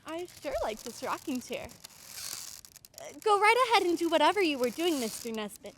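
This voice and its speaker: A woman's voice: high-pitched, very animated, a little fast, a bit bright, slight background noise. A woman acting a plucky, inquisitive little girl in an old-time radio drama, earnest and artless as she probes. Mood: feigned innocence.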